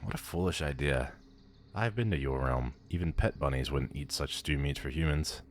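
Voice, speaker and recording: Man voicing a very deep, haughty demon lord. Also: normal speed, slight background noise. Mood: condescending amusement. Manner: pompous, condescending, theatrical. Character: haughty, powerful male demon lord, bored and amused. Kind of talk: ASMR audio roleplay acting.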